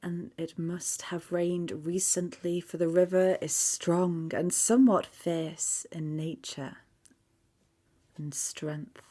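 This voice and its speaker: A woman leading a guided meditation in a soft, calm voice that often drops to a near-whisper. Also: fairly low pitch, takes it slowly. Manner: soft, calm, hushed, half-whispered at times.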